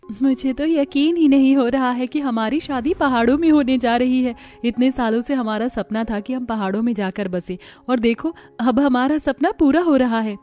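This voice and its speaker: A woman's voice: high voice, normal speed, warm tone, close to an everyday voice. A woman voicing an eager, dreamy young village daughter-in-law in a cartoon story, earnest and emphatic. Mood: excited.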